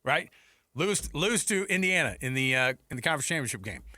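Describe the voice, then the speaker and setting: A man's voice: a little high, sing-song, brisk, pushed and bright, dry recording. An American man hosting sports radio, talking casually and conversationally on a close studio mic, with rhetorical questions and asides. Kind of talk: casual sports talk commentary. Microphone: close studio headset mic.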